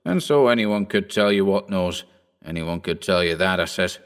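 A man's voice: low-pitched, animated, moderate pace, clean tone. A man voicing an elderly countryman who speaks in rustic dialect and grumbles proudly. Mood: grumbling. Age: older.

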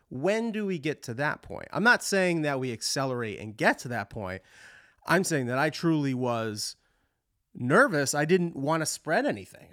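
A man's voice: medium pitch, fairly bright tone, dry recording, studio quality. A touring musician hosting his own show, talking in a rambling, candid way and swearing often, his voice congested from a cold. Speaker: touring musician and podcast host. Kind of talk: casual monologue.

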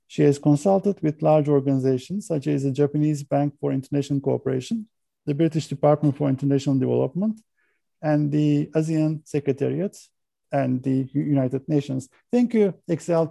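A man's voice: on the high side, gravelly, warm tone, no room sound. A man hosting an interview, carefully reading out a guest's introduction and asking short questions, sometimes stumbling over words. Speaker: interview host.

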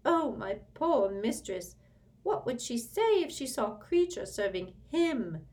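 A shrill, drawn-out old male house-elf, as a woman reader puts him on, muttering with spite and fawning servility. His voice climbs high and slows into lingering whines, swinging widely in pitch. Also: big pitch swings, darkish tone. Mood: muttering resentfully. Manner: furious hissing mutter, bowing servility. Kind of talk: audiobook character voice.

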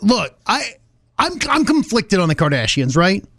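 Male radio co-host, high and very lively, slangy conversational delivery. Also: normal speed, no room sound, clean studio sound. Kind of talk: casual morning radio banter.

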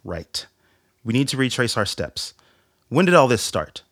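Serious army major, medium pitch, matter-of-fact, analytical, radio drama, close dry sound. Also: male voice, sing-song, measured pace, fairly bright tone, no echo, full character voice. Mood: serious, focused. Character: sharp-minded army major, measured and serious intelligence officer.

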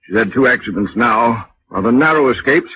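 A polite, measured gentleman employer of 1940s radio drama played by a man. His pitch is medium. The old recording is dull, with faint noise. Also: lively intonation, lightly acted. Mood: courteous, concerned. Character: courteous, measured wealthy husband hiring a chauffeur.

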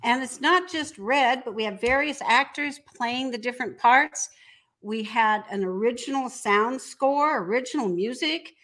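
A woman's voice: a little high, measured pace, a bit bright. A woman speaking as an entrepreneur guest, explaining her work conversationally in an interview.

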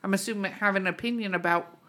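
A woman's voice: mid-range voice, fairly even intonation, fast, fairly bright tone. A woman hosting a podcast in casual chat, irreverent and swearing freely. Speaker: podcast host.

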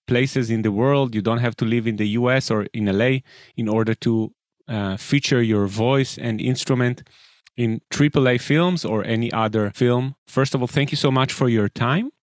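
Male podcast host, mid-pitched, dry close sound, earnest and wordy. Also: no room sound. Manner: earnest, wordy questions with fillers. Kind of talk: interview hosting.

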